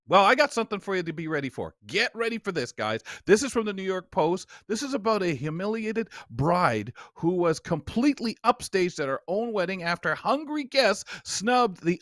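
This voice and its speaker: A man's voice: high-pitched, big pitch swings, normal speed, hardly any pauses, a bit bright, no room sound, clean studio sound. A man hosting a radio magazine show, telling stories with a theatrical delivery. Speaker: talk show host.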